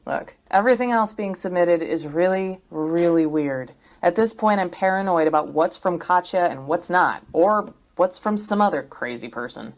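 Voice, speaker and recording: A woman's voice: on the low side, normal speed, hardly any pauses, lightly acted. An actress playing a wry, uneasy woman academic in an audio drama, speaking in a hesitant, understated way.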